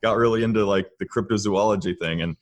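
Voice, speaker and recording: An American man from Florida speaks in a slightly low voice. He talks informally, as a guest chatting on a podcast. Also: fast talker. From Florida, United States. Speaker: podcast guest, audio drama creator. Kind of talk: casual chat.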